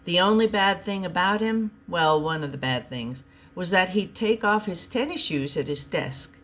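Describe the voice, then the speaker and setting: A woman's voice: lowish voice, lively intonation, moderate pace, a bit bright. A woman narrating a comic short story aloud as a storyteller.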